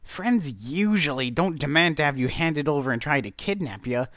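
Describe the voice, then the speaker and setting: A blunt, impatient pegasus mare, voiced by a man, pitched up and insistent. She sounds like a cartoon character in a storybook reading, with a dry sound. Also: animated, moderate pace, a bit bright, no room sound. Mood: skeptical. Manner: retorting, insistent. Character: brash, blunt, impatient female pegasus pony.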